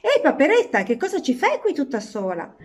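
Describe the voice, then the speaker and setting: A woman's voice: high voice, huge pitch swings, fairly quick, voice pushed up. A woman voicing a helpful, matter-of-fact pigeon in a children's story, directly advising a little one. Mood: brightly concerned.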